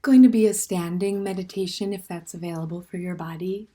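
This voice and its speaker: A woman leading a guided standing meditation in a gentle, unhurried way, with soft, breathy phrase endings and plenty of pauses. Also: on the low side, fairly even intonation. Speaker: meditation teacher.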